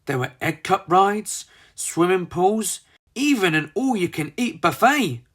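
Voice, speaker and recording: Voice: mid-range voice, expressive, measured pace. A storyteller reading a children's book aloud in a scripted, dramatic style.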